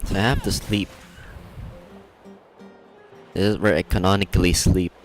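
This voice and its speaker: Casting: male game streamer, low voice, casual off-the-cuff commentary, faint game music behind. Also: flat delivery, normal speed. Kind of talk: casual live game commentary, reading dialogue aloud.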